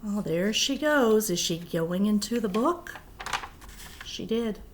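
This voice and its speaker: A woman teacher reading a picture book aloud to young children, animated and expressive, with chatty asides. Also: medium pitch, slow pace.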